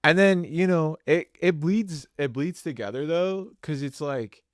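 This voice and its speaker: A man's voice: a little high, measured pace, no echo, studio quality. A young man, a musician co-hosting a podcast, chatting casually and rambling with lots of filler words. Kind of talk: casual chat.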